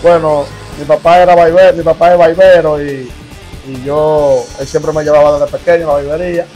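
A young Dominican man, a barber, answering interview questions in a relaxed, plainspoken way inside a busy barbershop. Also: a little high, a little fast, soft background music, poor audio. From Dominican Republic. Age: young adult.

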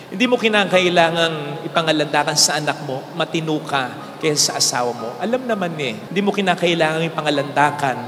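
Animated Filipino priest, high lively voice. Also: male voice, a little fast. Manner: animated preaching, switching between Tagalog and English. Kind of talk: homily. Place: large church sanctuary, indoors. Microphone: handheld mic. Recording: hall reverb with faint music.

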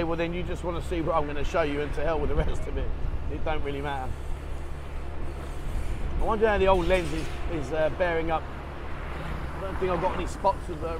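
Chatty man vlogging from a motorbike. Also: fairly high pitch. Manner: chatty, rambling, swears casually. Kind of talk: casual running commentary. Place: outdoors on a motorbike in town traffic, rain. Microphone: action camera held in hand. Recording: street and traffic noise behind.

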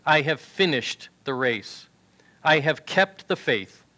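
A man's voice: a little high, animated, slow pace, bright, forceful tone. A man in his sixties preaching a sermon in a church sanctuary, reading scripture aloud through a headset mic. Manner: earnest preaching, reads scripture aloud from a tablet. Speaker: pastor. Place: large church sanctuary with choir risers.

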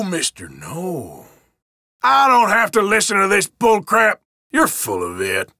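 A defiant Old West outlaw from a video game. He speaks in an unhurried American Western drawl, surly and evasive, with an edgy, forceful tone and pitch that swings widely. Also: dry recording, clean studio sound, full character voice. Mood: feigning ignorance, then irritated, defiant. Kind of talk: video game character voice lines.

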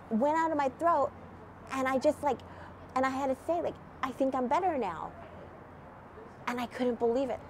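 Middle-aged woman, animated testimony to camera outdoors, slight nasal cold, faint traffic behind. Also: sits high. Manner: animated, many fillers, gesturing as she talks. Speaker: nonprofit founder. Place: outdoors at a church event, near a street.

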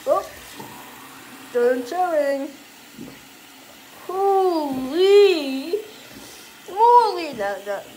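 A woman voicing a playful, easily exasperated shark puppet in improvised play, halting and exclamatory as it talks to itself. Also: high-pitched, mellow, slight background noise, exaggerated voice, low-quality recording. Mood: startled. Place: small home bathroom. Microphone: handheld phone or camera.

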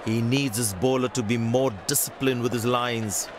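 A man giving brisk, measured cricket commentary lines for a video game. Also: medium pitch, fairly even intonation. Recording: loud game noise behind.

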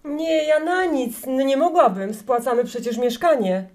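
A woman's voice: sits high, measured pace, darkish tone. An actress playing a timid, self-sacrificing grandmother in her forties in an audio play, hesitant and apologetic. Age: middle-aged.

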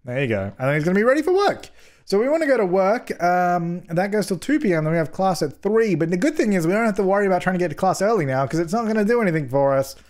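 A young man with a slightly hoarse voice from a cold, giving jokey, chatty commentary while playing a life-simulation game and scolding his characters, recorded on a headset mic at home. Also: high voice, very animated, quick pace, darkish tone. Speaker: gaming YouTuber. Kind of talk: casual let's-play commentary.

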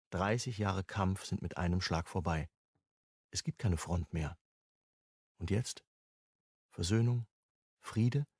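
An actor playing the reflective narrator of a crime radio play, reading scripted narration. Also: male voice, deep voice, a little mellow, breathy edge, no echo, clean studio sound, full character voice.

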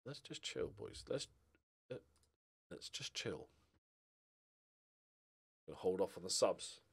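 A man's voice: low-pitched, sing-song, slow pace, husky. A middle-aged man giving casual, sweary commentary on a football manager game, talking to his players and viewers into a close mic. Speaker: gaming streamer. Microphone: close desk microphone.

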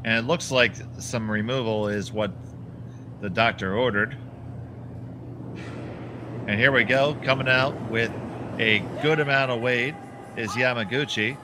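A man's voice: medium pitch, fairly even intonation, unhurried, pauses often. Man, philadelphia accent, curling play-by-play, joking banter, noisy background. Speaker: play-by-play commentator. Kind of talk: sports commentary.

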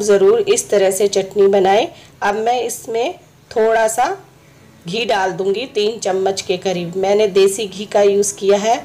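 A woman with a slightly hoarse throat, a home cooking host, calmly explaining each step of a recipe in her kitchen. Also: mid-range voice. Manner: instructive, friendly, repeats steps.

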